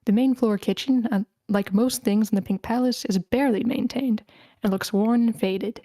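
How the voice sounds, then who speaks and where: A woman's voice: a little high, steady tone, normal speed, dark tone, dry recording. A woman reading stage directions as narrator at a screenplay table read over an online call. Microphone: video call.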